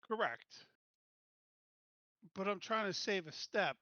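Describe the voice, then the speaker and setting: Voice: medium pitch, lively intonation, slow, pushed and bright, no echo, lo-fi sound. A player in a tabletop roleplaying game who plans aloud and stammers through restarts. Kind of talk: casual tabletop game planning.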